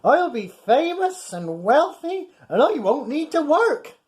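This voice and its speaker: A woman voicing a boastful male trawler skipper who turns panicky, in a high, loud, excitable voice. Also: slow pace, exaggerated voice. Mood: smug.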